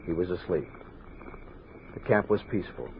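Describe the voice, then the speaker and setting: An actor playing a resolute, watchful army captain who narrates a western radio drama in measured, earnest tones. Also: male voice, low voice, expressive, highs cut off. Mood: grave, uneasy. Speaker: narrator and lead character. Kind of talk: 1960s western radio drama acting, first-person narration. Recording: noisy, band-limited 1960s radio recording.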